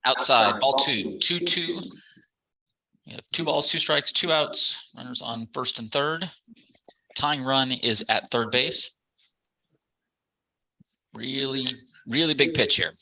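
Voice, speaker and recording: Very bright, slow-paced male baseball announcer. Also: medium pitch, no room sound, poor audio. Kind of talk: live sports commentary.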